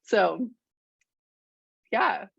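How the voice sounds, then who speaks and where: A soft-spoken woman, a musician guest in a casual interview, talking hesitantly with many fillers and restarts. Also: medium pitch, lively intonation, very slow, creaky, breathy edge, no room sound, highs cut off. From Richmond, Virginia, United States.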